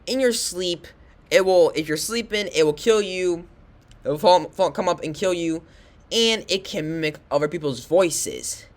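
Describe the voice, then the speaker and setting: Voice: medium pitch, fairly bright tone. Rambling host of a casual commentary video. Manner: rambling, repeats and corrects himself.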